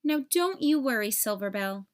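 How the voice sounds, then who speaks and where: A kindly old Father Christmas figure from a storybook, read by a woman. He talks in a reassuring, unhurried way. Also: fairly high pitch, animated, natural voice.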